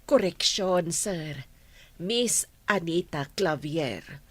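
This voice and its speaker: An actress playing a humble, devoted godmother in a radio drama, with a husky voice and an earnest, emotional delivery. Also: lowish voice, expressive, drawn-out words, full character voice. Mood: guarded. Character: humble, devoted godmother who raised her friend's son.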